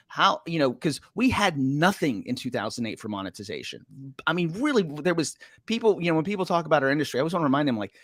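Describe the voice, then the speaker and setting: A man hosting a podcast, asking his guest questions in a casual, animated way. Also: no echo. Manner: animated, questioning, rising pitch when asking. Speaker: podcast host. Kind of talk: casual interview talk.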